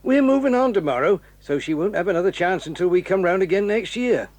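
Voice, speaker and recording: Folksy, high-pitched circus man. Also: lively intonation, brisk, darkish tone, dry recording. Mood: genial. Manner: folksy, easy-going, obliging. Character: friendly, plain-spoken circus man, chatty with children. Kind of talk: 1980s children's audio drama acting.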